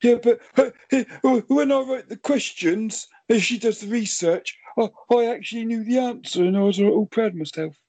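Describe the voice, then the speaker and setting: A man's voice: high voice, moderate pace, few pauses, darkish tone. A man voicing a small, chatty, earnest elephant who stammers and fusses as he hosts a comedy podcast quiz. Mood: eager, proud. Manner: stammering, pedantic.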